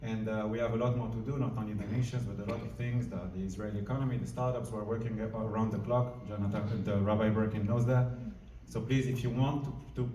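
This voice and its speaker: A man's voice: on the low side, fairly even intonation, fast talker, hardly any pauses, background noise. A man, a diplomat giving an earnest, informal speech to a live audience in a large community hall. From France. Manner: earnest, informal, searching for words. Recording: roomy hall, mic at a distance.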